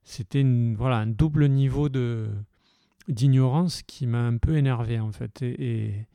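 A man's voice: mid-range voice, unhurried, mellow, no room sound, studio quality. A man from the south of France talking heatedly and casually, with many hesitations and restarts. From Occitan-speaking region of France. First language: French. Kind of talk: passionate casual talk.

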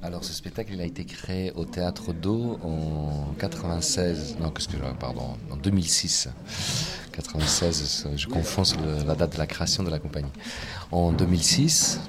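A man with an Italian accent, an actor interviewed on radio, speaking thoughtfully with pauses. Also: rumbling low, crowd noise. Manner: thoughtful, pausing mid-sentence. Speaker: actor and playwright, guest.

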